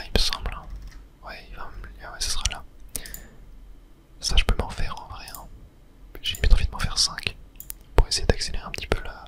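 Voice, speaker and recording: A person whispering casual, hesitant ASMR commentary while playing a video game, in a soft unvoiced whisper. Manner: casual, hesitant thinking aloud. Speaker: video game streamer narrating own play.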